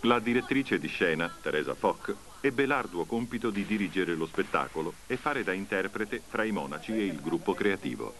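A man reading scripted documentary narration in a measured, formal way. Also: low voice, animated, noisy background.

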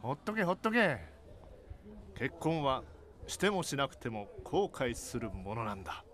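A man's voice: medium pitch, sing-song, unhurried, fairly bright tone. A man playing a wry, brusque middle-aged bachelor friend in Japanese voice drama dialogue. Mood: brusque, dismissive. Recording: clear background pub ambience noise.

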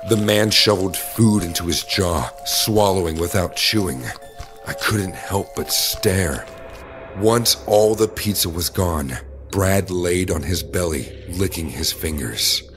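A man's voice: sits low, unhurried. A man reading a scripted first-person horror story as its narrator. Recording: music bed under the voice. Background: dramatic background music.

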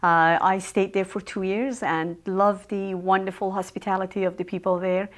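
A woman from Iran with a Persian accent, answering interview questions as a local election candidate, speaking in a measured way with frequent ums and uhs. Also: mid-range voice, flat delivery. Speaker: village trustee candidate.

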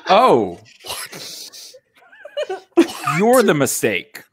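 A man's voice: a little high, wildly swooping pitch, takes it slowly, fairly bright tone, a little hiss or hum, exaggerated voice. Young man acting a dramatic, swooping roguish smuggler. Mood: defensive. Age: young adult. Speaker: actor in live script table read. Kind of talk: comic table-read acting. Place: home room, video call. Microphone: desk microphone, close.

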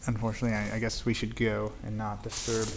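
A man calmly narrating as he explores an abandoned building, talking matter-of-factly with pauses, recorded on a handheld camera. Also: on the low side. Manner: calm, matter-of-fact narration with filler pauses. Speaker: urban explorer. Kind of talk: walking commentary. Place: outdoors and in an abandoned barn-like building. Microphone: handheld camera mic. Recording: handheld camera, clear background noise.

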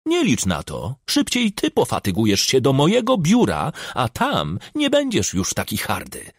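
Man acting hot-headed scornful official, raised pitch. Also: huge pitch swings, moderate pace, breathy edge, exaggerated voice. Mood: defiant. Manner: indignant, insulting, defiant. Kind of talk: audiobook character acting.

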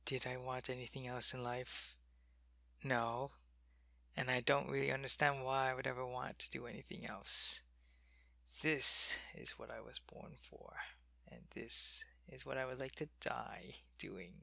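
A man voicing a sick male alien changeling soldier, loyal to his queen, who talks in a soft, weary ASMR whisper. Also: mid-range voice, fairly even intonation, a little slow, creaky, dry recording, exaggerated voice. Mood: weary, ill. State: sick and weak, in pain. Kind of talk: ASMR roleplay.

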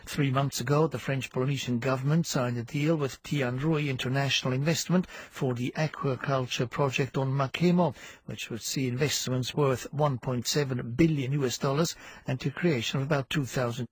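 Husky guy reading the news, dry close sound, medium pitch. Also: steady tone, moderate pace, few pauses, no room sound, rough recording. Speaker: radio newsreader.

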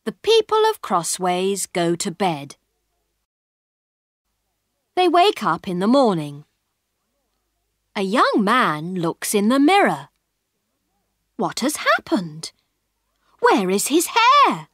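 A woman narrating a simple scripted story for English learners in a deliberate, expressive way. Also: medium pitch, no room sound, clean studio sound.

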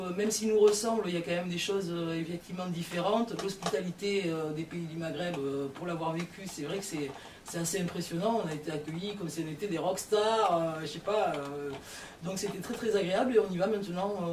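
A woman musician talking casually during an interview, hesitating often and speaking warmly. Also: fairly low pitch. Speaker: band musician being interviewed. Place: meeting room in a care home. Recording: roomy, distant, faint hiss.

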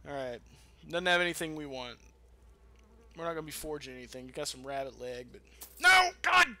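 A man's voice: fairly high pitch, big pitch swings, fairly quick, sharp tone, dry recording. A man giving casual, rambling, profane commentary as he hosts a gameplay video. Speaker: gamer hosting a let's play. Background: video game ambience.

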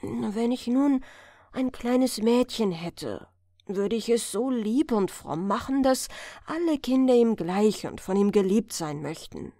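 A woman voicing a gentle, friendly, aging fairy-tale woman, speaking politely and with warm-hearted pleading. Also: a little high, animated, takes it slowly, dry recording, studio quality, full character voice. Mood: wistful, pleading. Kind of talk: fairy-tale storybook reading.

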